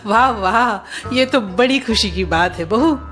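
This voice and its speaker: A woman's voice: medium pitch, slow pace, fairly bright tone, faint music behind. A woman playing a greedy, sly middle-aged mother-in-law in a cartoon story, with a wheedling, theatrical manner. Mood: gushing. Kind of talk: Hindi cartoon story acting.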